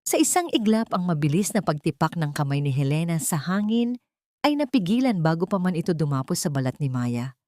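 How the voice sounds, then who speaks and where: A woman reading a dramatic scripted story in a solemn, deliberate manner. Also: on the low side, sing-song, a little mellow, no echo, studio quality.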